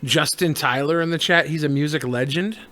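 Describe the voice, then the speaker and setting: A man's voice: on the high side, a little fast, pushed and bright. A man co-hosting a podcast, chatting casually in a blunt, crude way. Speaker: podcast co-host. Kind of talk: casual chat.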